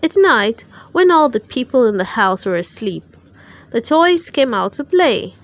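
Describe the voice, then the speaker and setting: A woman narrating a children's storybook aloud, with careful, expressive storytelling. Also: on the high side, unhurried, no echo. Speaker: storyteller, channel host.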